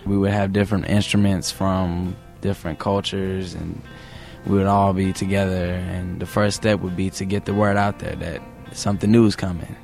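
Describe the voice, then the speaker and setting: A teenage boy from North Carolina, calm and hopeful about his plans. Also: low voice, moderate pace, gravelly, faint music behind. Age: teens. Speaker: camper.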